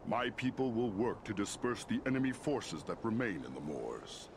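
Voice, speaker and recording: An actor playing a regal, wise and stern giant eagle lord in a fantasy game, stately and authoritative in delivery. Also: male voice, fairly low pitch, big pitch swings, moderate pace, background noise. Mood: grave, probing. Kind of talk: scripted fantasy game dialogue.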